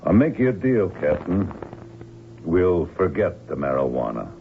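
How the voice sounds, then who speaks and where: Cynical hijacker heavy: rock-bottom voice, blunt folksy slang, tinny vintage radio sound. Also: male voice, low-pitched, big pitch swings, a little slow, creaky, heavily acted. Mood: coolly cynical. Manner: folksy, blunt, slangy tough-guy drawling grammar. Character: tough, cynical hired gunman taking charge of a hijacking. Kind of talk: old-time radio drama acting. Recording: tinny band-limited old radio recording, faint noise.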